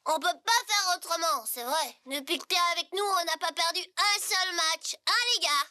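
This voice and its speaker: A woman's voice: very high voice, normal speed, pushed and bright, exaggerated voice. A woman in a French cartoon dub playing an upbeat, admiring young soccer teammate. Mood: cheerful.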